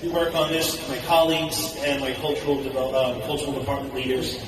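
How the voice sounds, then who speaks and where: A man's voice: medium pitch, flat delivery, a little fast, a crowd in the background. Man speaking in an echoey hall. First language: English. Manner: enthusiastic, conversational, poses questions to the audience. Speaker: conference speaker. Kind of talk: public speech at a conference. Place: large hall. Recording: echoey hall recorded from a distance, audible noise.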